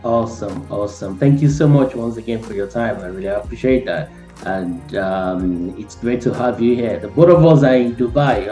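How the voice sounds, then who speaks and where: A man hosting a motivational interview, talking earnestly, repeating his pleas and filling pauses with "you know". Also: mid-range voice, dark tone, roomy sound. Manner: earnest, pleading, repeats words. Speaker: host.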